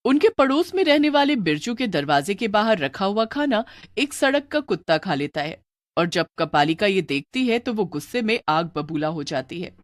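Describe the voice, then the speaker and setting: A woman's voice: mid-range voice, sing-song, moderate pace, no echo, studio quality. A woman narrator reading a scripted story in a dramatic storytelling style.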